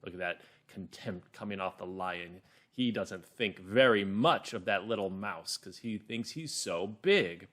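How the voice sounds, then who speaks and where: A man teaching a children's reading lesson and narrating a story, clearly and deliberately, in an instructional way. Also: sits low, lively intonation, fairly bright tone. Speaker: teacher, host.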